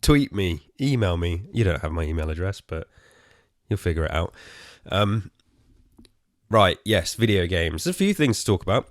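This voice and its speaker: A tired, hoarse man with an English accent, rambling casually as host of his own podcast, swearing and poking fun at himself. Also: sits low, big pitch swings, moderate pace, no room sound, clean studio sound. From England. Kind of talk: casual solo podcast chat.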